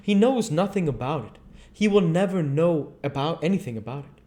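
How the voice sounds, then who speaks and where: An ardent, anguished artist acted out by a man in a storybook reading. He speaks in a fairly high, soft-toned voice, fervent and confessional, as if infatuated. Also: expressive, moderate pace, natural voice. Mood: earnest, anguished. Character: ardent, anguished male painter, earnest and infatuated.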